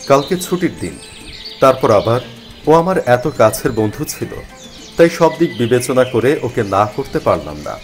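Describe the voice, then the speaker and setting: A man narrating a spooky first-person story in an expressive, dramatized way. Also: mid-range voice, normal speed. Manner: expressive, acts out the character's worried thoughts. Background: faint music.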